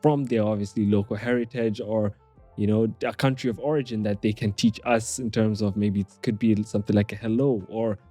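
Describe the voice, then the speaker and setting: A man's voice: on the low side, fairly even intonation, brisk, warm tone, no echo, faint music behind. A man hosting an interview, talking in an earnest, meandering way with many hesitations. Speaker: podcast host.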